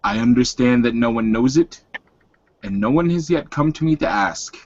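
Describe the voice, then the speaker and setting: A man voicing a serene, scheming werewolf leader in a tabletop game, with deliberate, emphatic delivery. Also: medium pitch, lively intonation, no echo, highs cut off. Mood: firm, calm.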